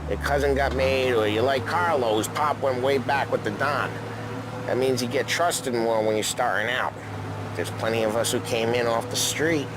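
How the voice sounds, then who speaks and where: Guy doing a easygoing teasing mobster for a video game, streetwise, loud-ish car noise behind. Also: medium pitch, steady tone, normal speed, close to an everyday voice. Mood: wry, relaxed. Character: wry, easygoing seasoned male mobster. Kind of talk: cinematic game acting.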